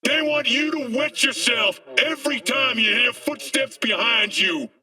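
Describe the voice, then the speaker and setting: An actor playing a fiery dystopian street preacher in a video game, ranting in an impassioned, declamatory way. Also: male voice, very high-pitched, measured pace, recorded from a distance, music in the background. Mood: angry, urgent. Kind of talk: dramatic video game monologue.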